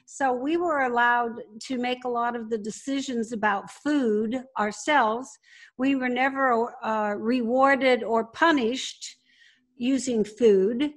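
A woman's voice: a little high, steady tone, slow. An older woman speaking conversationally as an interview guest, recalling and explaining. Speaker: podcast guest, business founder.

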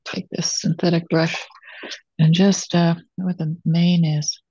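An older woman teaching watercolor, thinking aloud as she demonstrates and pausing between phrases while she works. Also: fairly low pitch, level tone, warm tone, husky, dry recording. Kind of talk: real-time demonstration narration, thinking aloud. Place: indoors at a painting table. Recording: dull, muffled lo-fi sound.

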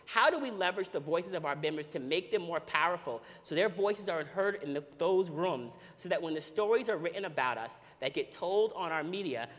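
A man's voice: on the high side, lively intonation, brisk, few pauses, a bit bright, big-room sound. A man panelist building a long rhetorical question. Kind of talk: conference panel discussion.

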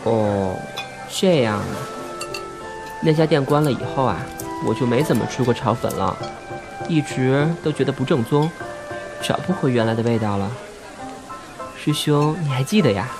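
A man's voice: medium pitch, lively intonation, moderate pace, pauses often, music in the background, lightly acted. A man playing a naive, good-natured young man, blunt yet hesitant, in an amateur audio drama. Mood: friendly, polite. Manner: hesitant, guileless. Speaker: amateur voice actor.

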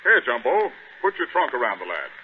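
A man's voice: mid-range voice, huge pitch swings, brisk. An actor playing an obliging male animal keeper in 1930s radio style. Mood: businesslike. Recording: tinny, hissy 1930s radio recording.

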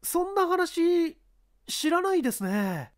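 Young male ballplayer played by a woman, reserved, courteous, evasive, pitched high, for a radio story. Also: sing-song, slow, full character voice. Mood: polite, evasive. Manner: brief, polite, evasive answers.

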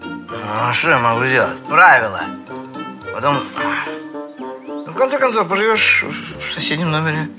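A male actor playing an evasive, weary older man in an animated comedy, grumbling and placating with resignation. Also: a little high, normal speed, pauses often, over music, close to an everyday voice. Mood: resigned, exasperated.

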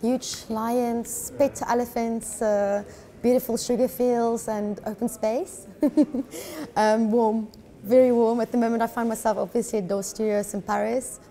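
A woman's voice: a little high, level tone, a little slow, mellow. A woman in her thirties from South Africa, chatting dreamily in an interview and laughing often, in a studio lounge. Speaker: musician guest. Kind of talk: relaxed interview chat.

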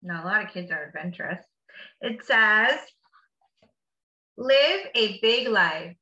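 A woman's voice: mid-range voice, animated, slow, pushed and bright, lo-fi sound. A woman reading a picture book aloud to children like a teacher, with encouraging, simply worded asides in between. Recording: echoey room.

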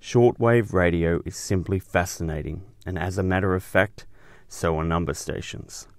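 A man's voice: low-pitched, fairly even intonation, normal speed, dry recording, studio quality. A man hosting and narrating a scripted explainer show.

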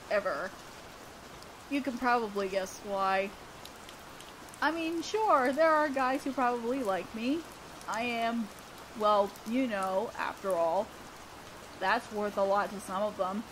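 High-pitched, shy schoolgirl, acted by a woman. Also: slight background noise, rough recording. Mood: embarrassed, self-conscious. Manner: shy, halting, flustered. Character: awkward, earnest teenage girl student council president. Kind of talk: romantic audio roleplay monologue.

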